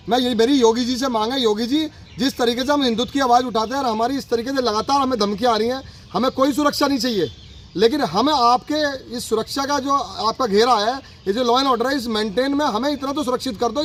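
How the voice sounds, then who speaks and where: A man giving an aggrieved, insistent, repetitive statement as an activist leader. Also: very high voice. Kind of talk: spoken press statement. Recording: faint ambient background noise.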